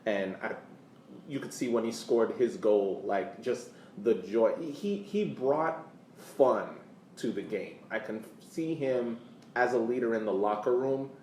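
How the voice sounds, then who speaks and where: An American man co-hosting a podcast, talking casually about sports in a halting way, repeating words as he searches for phrasing. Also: medium pitch, a little mellow. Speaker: podcast co-host. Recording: echoey room, distant mic, faint background noise.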